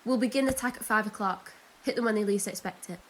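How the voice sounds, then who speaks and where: A woman's voice: mid-range voice, fast, natural voice, rough recording. A woman playing a firm, dutiful military figure in a radio drama, stern and clipped. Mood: brisk, resolute. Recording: faint hiss behind voice.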